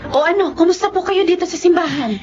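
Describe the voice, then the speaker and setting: Radio drama role: a helpful, friendly female neighbour, very high lively voice, slightly muffled. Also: fast talker. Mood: friendly, forgiving. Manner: animated, concerned, friendly. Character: kindly, forgiving neighbour woman, eager to help. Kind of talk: Filipino radio drama acting. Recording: dull lo-fi audio with noise.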